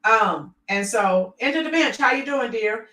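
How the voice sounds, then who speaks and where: Middle-aged woman chatting on a livestream, kinda high voice, lots of ums, sounds far off in a roomy space. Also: animated, a little slow, pushed and bright. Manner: rambling, with many fillers, addresses viewers by name. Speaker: livestream host reading viewer comments. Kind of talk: casual livestream chat.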